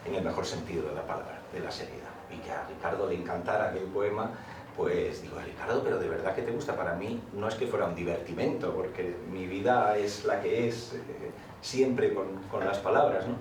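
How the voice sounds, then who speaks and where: An older man with a Castilian Spanish accent speaks in a low, deep register. He has the reflective, wandering manner of a poet telling stories. The recording is echoey and noisy, as if picked up from across a room. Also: fairly even intonation, moderate pace, distant mic. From Asturias, Spain, in Madrid from age eight. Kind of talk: conversational storytelling with recited verse. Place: school classroom, talk to teachers.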